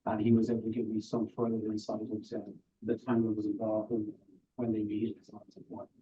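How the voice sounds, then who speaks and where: A man in his forties with an Irish accent, answering interview questions at a council meeting in a measured, courteous way. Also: fairly low pitch, completely flat delivery, mellow. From Ireland. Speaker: board applicant. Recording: tinny, distant council-room audio.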